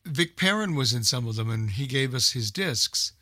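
A man's voice: medium pitch, animated, moderate pace, pushed and bright, no room sound, studio quality. An older man chatting casually about old-time radio as a host and collector.

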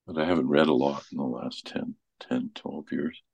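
Very deep-voiced middle-aged man chatting. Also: steady tone, normal speed, gravelly, a little mellow, breathy edge, no room sound. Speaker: radio show presenter. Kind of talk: casual chat.

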